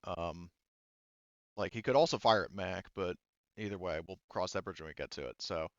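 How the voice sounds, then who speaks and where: Low-voiced man running a tabletop game. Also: normal speed, no echo, lo-fi sound. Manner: hesitant, thinking aloud. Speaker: game master. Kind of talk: running a tabletop sci-fi roleplaying game, explaining rules.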